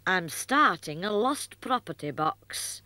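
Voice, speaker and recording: A woman's voice: on the low side, sing-song, slow pace, sharp tone, dry recording, pitched above the performer's own voice, heavily acted, rough recording. A woman voicing a patient, motherly puppet mum in a children's show. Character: motherly furry puppet mum, busy tidying.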